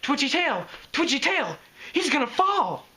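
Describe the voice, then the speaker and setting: A reader voicing an excitable, bubbly young pony mare with a squealing delivery. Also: mid-range voice, takes it slowly, pitched above the performer's own voice, full character voice. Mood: alarmed.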